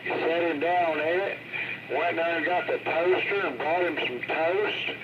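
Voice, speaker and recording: A man's voice: fairly high pitch, unhurried. A man, chatting casually over a CB radio. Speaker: CB radio operators on air. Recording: muffled CB radio with loud static noise.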